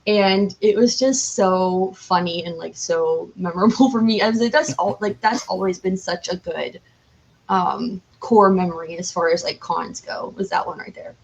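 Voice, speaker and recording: A woman's voice: fairly low pitch, normal speed, vocal fry, poor audio. A woman chatting casually as a podcast guest, with an enthusiastic, giggly and informal way of talking. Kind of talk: casual interview chat.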